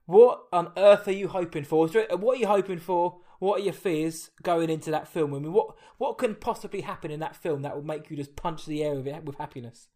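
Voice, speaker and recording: A man's voice: a little high, brisk, few pauses, warm tone. A man from England with an Essex accent, hosting a casual interview in a chatty, rambling way that often corrects itself. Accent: Essex English. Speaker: podcast host.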